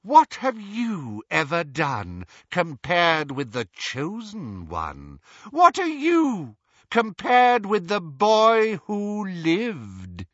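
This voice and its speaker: A terrible apparition of a teenage girl, as a male reader puts her on. She croons and mocks in a high, swooping voice, slow and scornful, out of a dark storybook. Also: huge pitch swings, no echo, dull audio, heavily acted. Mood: scornful jeering. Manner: scornful, mocking. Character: evil conjured double of a teenage girl, terrible, jeering.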